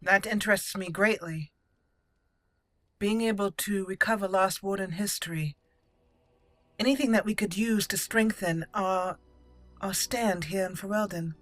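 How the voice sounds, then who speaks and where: A woman plays a stately elf mage in a fantasy game, with a medium-pitched voice. She speaks in measured, formal sentences with a proud air. Game music is clearly heard underneath. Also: no room sound, natural voice. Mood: curious, formal. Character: young female elf mage, haughty, formal, dwarf-raised. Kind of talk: role-played fantasy game dialogue.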